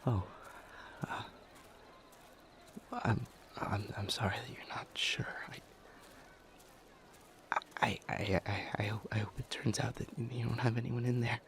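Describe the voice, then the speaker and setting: A man acting an anxious, kind young helper at a disaster in an audio roleplay, stammering heavily in a soft, hesitant voice. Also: mid-range voice, steady tone, unhurried, gravelly, long pauses, slight background noise. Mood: nervous, hesitant. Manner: heavy nervous stammer, hesitant.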